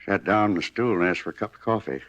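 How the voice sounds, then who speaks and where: An actor playing a talkative, homespun cafe owner from Kansas who was shot, in a 1950s radio drama. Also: male voice, sits low, animated, quick pace, fairly bright tone. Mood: folksy. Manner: rambling, homespun, plain-spoken. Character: folksy, talkative wounded cafe owner, former pitcher. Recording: dull vintage 1950s radio broadcast.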